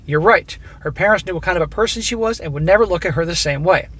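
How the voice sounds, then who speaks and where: A middle-aged host with a high-pitched voice reads a listener's story and breaks in with blunt, profane advice. His voice is going hoarse. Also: quick pace, fairly bright tone, a little hiss or hum. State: losing his voice. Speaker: host reading listener stories. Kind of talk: reading a submitted story aloud with commentary.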